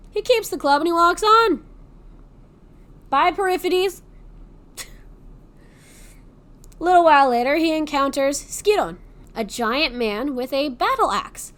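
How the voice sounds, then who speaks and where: A woman hosting a podcast, casually retelling a Greek myth with profanity and sarcastic asides. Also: high voice. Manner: swears freely, laughs, adds sarcastic asides. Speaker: podcast host.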